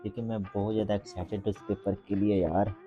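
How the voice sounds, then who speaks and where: A man talks in a casual vlogging style. His voice is mellow and relaxed, with faint music behind it. He is informal, and he slows and halts when he reads English aloud. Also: on the low side, lo-fi sound. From Bihar, India. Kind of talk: casual vlog commentary.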